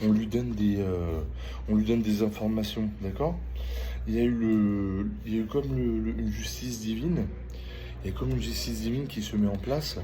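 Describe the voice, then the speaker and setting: Middle-aged male tarot reader, lowish voice, informal and stop-start delivery with repetitions, clear background noise. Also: mellow. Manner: halting, repeats phrases, often checks in with listener. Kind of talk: casual spoken tarot reading with personal chat. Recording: clearly noisy ambient background.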